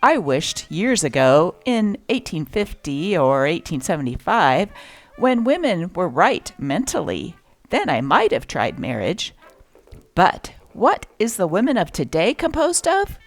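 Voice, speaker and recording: A woman hosting a show that reads aloud from old newspapers, with an expressive, varied delivery. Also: a little slow. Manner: expressive reading with lively pitch. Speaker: channel host reading old newspapers.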